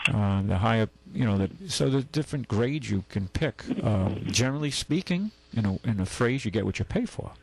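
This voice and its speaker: A man's voice: lowish voice, expressive, normal speed, vocal fry, hardly any pauses, a little hiss or hum, low-quality recording. A man hosting a call-in radio show, giving folksy, rambling car advice and correcting himself as he goes.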